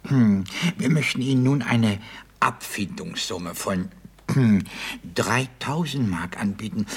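An actor playing a pompous, fussy Excellency in a comedic radio play, formal, hemming and apologetic. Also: male voice, medium pitch, breathy edge.

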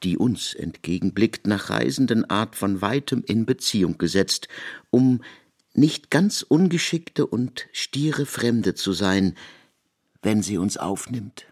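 A man narrating literary prose in a measured, expressive storytelling manner. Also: lowish voice. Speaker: narrator of a literary radio adaptation.

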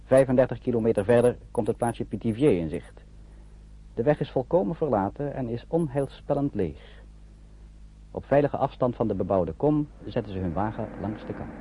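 A man narrating a wartime radio play in a measured, literary storytelling manner. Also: lowish voice, animated, mellow, husky. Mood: narrative, suspenseful. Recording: muffled old radio drama recording.

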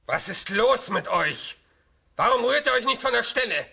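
Evil snooty grand duke in a kids radio play, high gravelly voice, bossy and furious. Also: male voice, animated, moderate pace, bright, forceful tone, heavily acted. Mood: furious, incredulous. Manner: imperious, scolding. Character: wicked haughty grand duke, furious villain. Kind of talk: children's radio drama acting.

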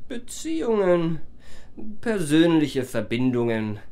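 An actor playing a young hotel employee and charming con man in a German radio play, speaking politely and ornately with smooth self-assurance. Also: on the high side, big pitch swings, takes it slowly, warm tone, exaggerated voice. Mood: self-assured.

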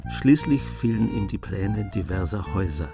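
A man narrating a scripted radio drama in a measured storytelling manner. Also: lowish voice, animated, vocal fry, over loud music.

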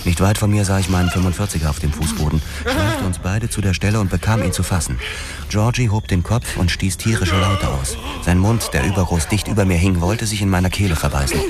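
An actor playing a grim, weary male police detective, narrating a hard-boiled crime radio play in a measured way. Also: low-pitched, close to an everyday voice. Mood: grim, intense. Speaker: first-person narrator. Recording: music loud behind the voice.

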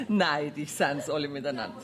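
Brash woman banker, Bavarian dialect, self-assured, earthy, mocking, very lively intonation, 1979 radio drama, crowded room. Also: sits low, heavily acted. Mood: breezy, boastful. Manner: earthy, brisk, bossy, mocking. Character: brash, self-assured woman banker holding court among tavern customers. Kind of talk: radio play acting in dialect. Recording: 1979 radio play, busy crowd behind.

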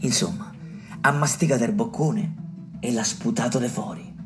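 A man's voice: medium pitch, moderate pace, natural voice. Man playing an earthy Roman storyteller, music behind. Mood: confiding, emphatic. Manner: colloquial, digressive, emphatic, addressing listeners directly. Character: earthy garrulous male health-office caretaker, smallpox survivor and storyteller. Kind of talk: theatrical dialect monologue for a radio play.

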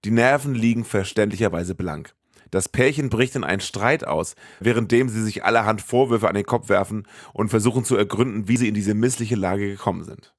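Low-voiced man recapping a film. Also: a little fast, no room sound, studio quality. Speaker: podcast host. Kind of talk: scripted film recap narration, colloquial.

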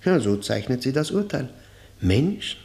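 An actor in a German radio play playing an embittered life prisoner, brooding and haunted, with a gravelly voice and deliberate, weighty delivery. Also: male voice, medium pitch, sing-song, darkish tone. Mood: grave recollection. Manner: deliberate, halting, repeating words with grim weight. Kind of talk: German radio play acting, dramatic monologue.

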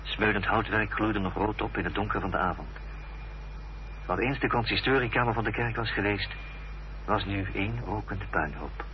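Radio drama lead: actor as earnest gentleman narrator, deliberate suspenseful delivery, dull vintage sound. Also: on the low side, slight background noise, natural voice. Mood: sombre. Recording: dull, faintly humming old radio recording.

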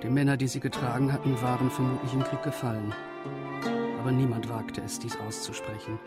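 A man plays a sober witness narrating wartime memories for radio drama. His delivery is very even in melody, at a middle pitch, with prominent guitar behind it. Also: fairly quick, lightly acted. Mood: sombre, restrained. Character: sober witness recalling wartime factory work. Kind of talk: scripted first-person radio drama narration.

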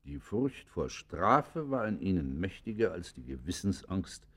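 An actor playing a stern, moralizing magistrate who questions a criminal in a grave, formal, admonishing manner for a radio play. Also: male voice, low-pitched, very animated, measured pace, heavily acted. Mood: stern reproach. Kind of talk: German radio play acting.

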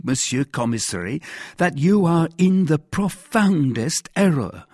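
A man's voice: on the high side, slightly rough, no echo, studio quality, voice pushed up, heavily acted. A man voicing an agitated, cowardly mercer who pleads and protests at length in a storybook reading. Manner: pleading, long-winded protests. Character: agitated, cowardly, wailing middle-aged male mercer under arrest.

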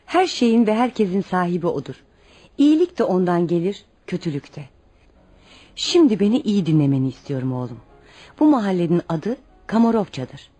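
A woman's voice: mid-range voice, dark tone. An actress in a radio play playing a poor, devout widowed mother, protective and anxious, who speaks in an earnest, instructive way. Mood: pious, earnest. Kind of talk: radio theater acting.

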